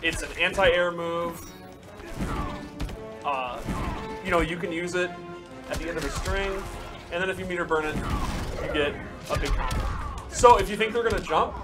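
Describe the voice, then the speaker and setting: Man, slightly high voice, casual gameplay explainer, enthusiastic and instructive, loud music behind. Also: moderate pace, pauses often. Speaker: game developer explaining a fighting game.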